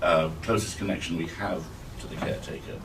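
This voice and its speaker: Male event questioner, deep voice, deliberate broken phrasing, picked up at a distance through noticeable noise. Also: fairly even intonation. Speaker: participant putting a question to the author. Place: indoor bookstore event. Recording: distant mic, clear background noise.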